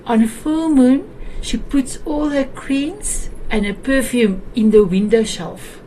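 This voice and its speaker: A woman's voice: on the high side, slow pace, dark tone, a little hiss or hum, poor audio. A woman from South Africa with an Afrikaans accent, hosting a talk show in a chatty, hesitant way with many restarts. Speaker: show host. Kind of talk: warm casual talk on a video call show.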